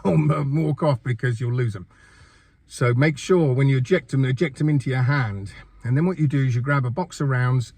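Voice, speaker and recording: A man's voice: mid-range voice, a little mellow, no room sound. An older man hosting a gaming channel, talking through a casual review while under the weather with a cold, chatty and rambling. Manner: chatty and rambling, with fillers and repeated words. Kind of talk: casual first-impressions game review.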